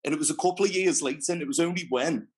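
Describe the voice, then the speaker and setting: A man's voice: fairly high pitch, rapid-fire, husky. A man, chatting freely as an interview guest and telling his story in a rambling way. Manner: chatty, rambling, restarts often. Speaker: interview guest, fitness trainer. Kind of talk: casual interview chat.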